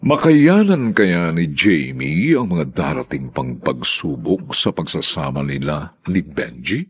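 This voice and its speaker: Male radio drama narrator: low, husky, slow and deliberate, with big dramatic swings. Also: sing-song. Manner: theatrical, deliberate.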